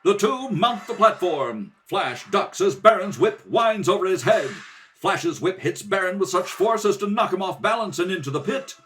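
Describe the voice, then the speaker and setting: A man's voice: high voice, animated, normal speed, a bit bright, soft background music. A man narrating an adventure radio serial in a theatrical, emphatic scripted style. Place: kitchen table at home.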